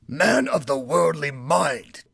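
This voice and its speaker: A man voicing a tormented male ghost in chains, with slow, wailing, drawn-out lines. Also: a little high, big pitch swings, creaky, fairly bright tone, husky, voice pushed up, full character voice. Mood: mournful.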